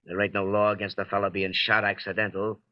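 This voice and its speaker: A man playing a cocky, casually brutal henchman with rough, folksy speech in 1930s radio drama. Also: lowish voice, level tone, quick pace, close to an everyday voice. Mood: sly menace. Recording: dull 1930s radio recording.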